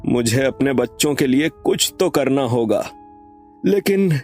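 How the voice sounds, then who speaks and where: A man's voice: mid-range voice, lively intonation, a little slow, a little mellow, soft background music, lightly acted. A man voicing a worried, earnest elderly father of grown sons in a moral story, pleading and admonishing. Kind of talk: acted moral story dialogue.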